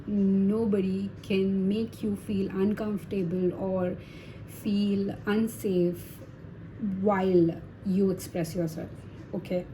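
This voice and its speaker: A woman's voice: medium pitch, warm tone, slight background noise, rough recording. Chatty woman giving a tarot reading. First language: Hindi. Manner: chatty, reassuring, many fillers and tag questions. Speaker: tarot reader. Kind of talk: casual tarot reading to viewers.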